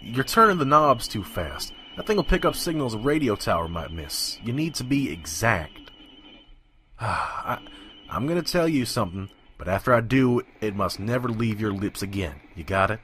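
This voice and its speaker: A jaded pony trader narrates his wasteland travels , as acted by a man, folksy and drawling. The voice is low and forceful. It sounds roomy and set back from the mic. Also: big pitch swings, normal speed, a little hiss or hum. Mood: instructing, guarded. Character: world-weary male pony trader wandering a wasteland, gruff narrator. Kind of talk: scripted first-person narration and audio drama. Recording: roomy, echoing, distant mic.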